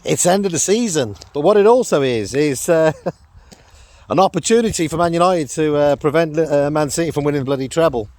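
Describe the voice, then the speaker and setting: A middle-aged man with a croaky throat, chatting casually on a walk with his dog in a rambling vlog. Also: fairly high pitch, lively intonation, a little fast, darkish tone, no echo. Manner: rambling, chatty, lots of fillers and laughs. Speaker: vlogger.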